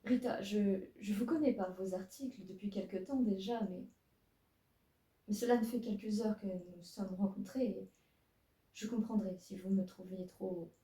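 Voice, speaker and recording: A woman voicing a lovestruck witch journalist who speaks hushed and hesitant, recorded in a roomy room from a distance. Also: medium pitch, steady tone, moderate pace, natural voice. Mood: tender.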